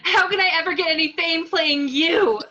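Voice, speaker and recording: A woman's voice: extremely high pitch, moderate pace, sharp tone, highs cut off, a little hiss or hum. A woman playing a melodramatic, indignant young actress in an amateur table reading of a screenplay. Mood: panicked, indignant. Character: panicky, melodramatic young actress, a bickering drama queen.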